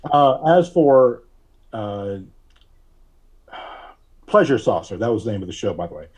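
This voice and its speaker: A man speaking as a podcast guest, recalling things casually in a halting, deliberate way with frequent long hesitations. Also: mid-range voice, big pitch swings, darkish tone, breathy edge. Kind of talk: casual interview recollection.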